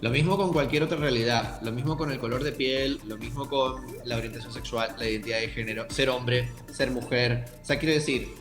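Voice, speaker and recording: A man's voice: mid-range voice, a little fast, few pauses, reverberant, over music. A young man with a Canarian accent, a writer thoughtfully answering interview questions and speaking directly to the listeners. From Canary Islands, Tenerife. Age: young adult.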